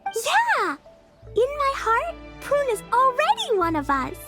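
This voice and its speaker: A woman's voice: extremely high pitch, huge pitch swings, slow pace, exaggerated voice. A woman voicing a sweet, playful and trusting little witch girl in a video game, with a bubbly childlike manner. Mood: bright, playful. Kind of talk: video game character acting. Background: background music.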